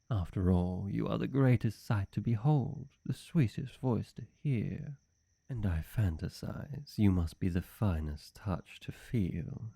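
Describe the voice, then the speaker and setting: A man's voice: deep voice, vocal fry, warm tone, husky, dry recording, heavily acted. A man playing a suave, flirty demon servant, obsessively devoted, speaking formally and silkily in a scripted roleplay monologue. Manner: unhurried, silky, fawning, formal.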